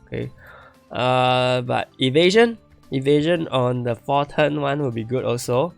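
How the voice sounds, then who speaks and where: A man's voice: medium pitch, a little slow, vocal fry, soft background music. A man streaming a game and reviewing viewer accounts, thinking aloud and repeating himself as he starts to lose his voice. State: losing his voice. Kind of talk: casual live-stream commentary.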